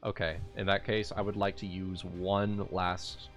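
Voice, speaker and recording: Low-voiced man in casual gaming chat. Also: unhurried, soft background music. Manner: excitable, swearing, informal. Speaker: player in a tabletop roleplaying game livestream.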